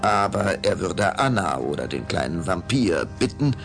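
Very deep, gravelly male storyteller. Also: very animated. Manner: suspenseful, measured. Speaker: narrator. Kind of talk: dramatic scripted storytelling for children's audio drama. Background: clear background music.

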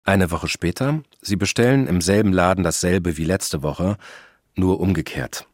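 A man with a very low voice narrates a family story in a calm, matter-of-fact way. The recording is close and dry, with no room sound. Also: normal speed, dry recording. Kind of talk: scripted narration.

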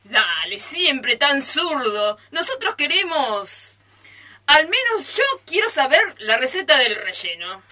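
A woman's voice: sits high, big pitch swings, takes it slowly, bright, forceful tone, exaggerated voice. A woman with an Argentine accent acting an impatient, mocking friend in amateur radio theatre, insistent and needling.

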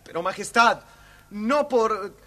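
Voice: mid-range voice, lively intonation, takes it slowly, a bit bright. An actor playing a cheeky, whiny, simple-minded peasant boy in a children's audio storybook. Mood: fearful complaining.

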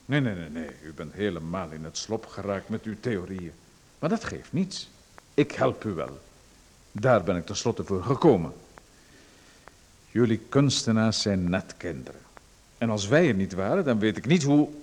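A man playing a smooth, menacing official in a radio drama, condescending and coaxing. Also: fairly low pitch, sing-song, normal speed, a little mellow. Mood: patronizing. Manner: condescending, coaxing then threatening.

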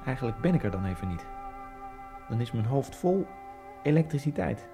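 An actor voices a man recalling his new life in a brooding, dreamy inner monologue, now and then bursting out. His pitch sits in a middle register. Music plays clearly beneath the voice. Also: sing-song, normal speed. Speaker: Egyptian immigrant narrator. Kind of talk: radio drama monologue.

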